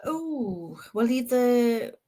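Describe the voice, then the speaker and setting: A woman's voice: fairly high pitch, big pitch swings, extremely slow, mellow. A woman with a Geordie accent co-hosting a podcast in casual, colloquial chat. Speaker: podcast co-host.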